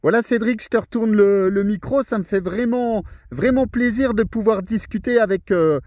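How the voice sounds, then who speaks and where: A man's voice: high voice, moderate pace, a little mellow, no room sound, clean studio sound. A man from France, an amateur radio operator chatting casually during a radio contact. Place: outdoors on a mountain, over a thousand metres.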